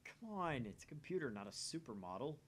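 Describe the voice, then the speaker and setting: Man acting young mad scientist, grandiose, suspicious, theatrical visual novel delivery, very lively intonation, faint noise. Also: mid-range voice, fairly quick, pitched above the performer's own voice. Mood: indignant. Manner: pompous, theatrical. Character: paranoid, grandiose young self-styled mad scientist narrator. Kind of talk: dramatic visual novel read-aloud.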